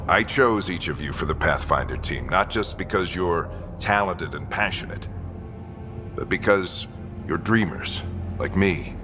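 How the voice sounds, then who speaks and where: A stoic older commander with a very low voice. He speaks in measured, solemn phrases like a game's team leader, with clear music behind him. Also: expressive. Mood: rousing, solemn. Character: stoic older father leading an expedition team. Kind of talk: cinematic video game acting.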